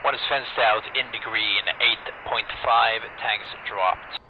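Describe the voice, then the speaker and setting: A man's voice: on the low side, fairly even intonation, slow pace. Guy doing clipped fighter pilot radio calls in a flight sim, muffled, noisy comms. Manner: clipped, procedural brevity calls. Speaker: flight lead in a virtual squadron.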